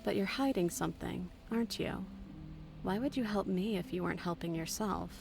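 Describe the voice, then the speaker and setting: A bitter, wounded princess from a horror game, played by a woman. Her voice is gravelly with fry and sits at a middling pitch. She broods theatrically over music. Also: lightly acted. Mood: distrustful. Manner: theatrical, halting, brooding delivery. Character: bitter, wounded, distrustful captive princess, eerily calm. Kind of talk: video game voice acting.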